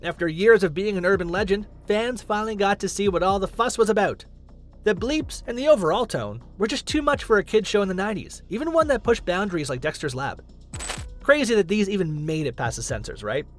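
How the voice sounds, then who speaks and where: A man's voice: high-pitched, very animated, brisk. A man reading scripted countdown narration as the host of a list video. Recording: music under the voice. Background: background music.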